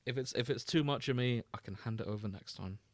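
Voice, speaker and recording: A man's voice: mid-range voice, fast, no room sound. A man co-hosting a podcast in casual, joking chat. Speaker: podcast co-host and reader.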